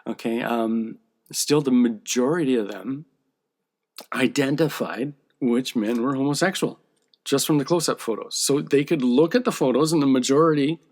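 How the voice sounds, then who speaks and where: A man's voice: medium pitch, normal speed, darkish tone. A man who draws comic books, talking in a casual, rambling monologue that often checks in with "okay?". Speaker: comic book artist.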